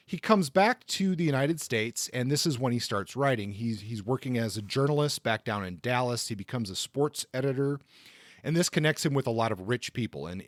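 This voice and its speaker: Male podcast host, informal biographical narrator, conversational and halting, recorded dry. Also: mid-range voice, dry recording.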